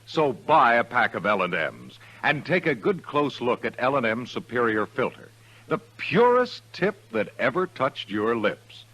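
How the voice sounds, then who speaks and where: A man's voice: mid-range voice, big pitch swings, a little slow, a bit bright, breathy edge, poor audio. A man reading a 1950s radio advertisement as an announcer, with an emphatic, polished sales delivery. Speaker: commercial announcer.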